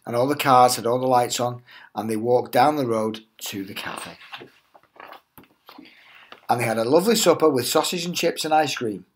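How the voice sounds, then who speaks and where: A middle-aged man, a headteacher, reading a picture book aloud to young children in an expressive, reassuring way. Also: mid-range voice, a little hiss or hum.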